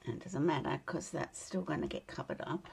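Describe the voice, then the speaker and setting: An Australian woman with a tired, croaky voice chatting casually and laughing as she demonstrates card making at her craft desk. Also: deep voice, animated, moderate pace, darkish tone, slight background noise. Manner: chatty, laughs often, mutters to herself while working. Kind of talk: casual crafting tutorial chat. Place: indoor craft desk, overhead camera.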